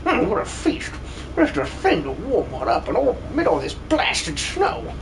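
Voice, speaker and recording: A high, blustery old soldier. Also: male voice, wildly swooping pitch, unhurried, vocal fry, background noise, full character voice. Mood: delighted. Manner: old-fashioned exclamations, theatrical and pompous. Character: blustery gluttonous old bearded soldier, paranoid about spies.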